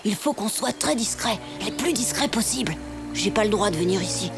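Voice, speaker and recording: A woman's voice: medium pitch, lively intonation, brisk, creaky. A woman voicing a brave, eager young male wolf cub in a cartoon, boyish and urgent. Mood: wary. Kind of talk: animated series dialogue. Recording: loud music under the voice.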